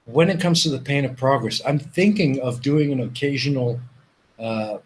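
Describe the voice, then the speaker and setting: A man's voice: mid-range voice, moderate pace, a little hiss or hum. A man who grew up in Canada with Dutch as his first language, hosting a casual live stream, rambling and repeating himself as he reads viewers' chat.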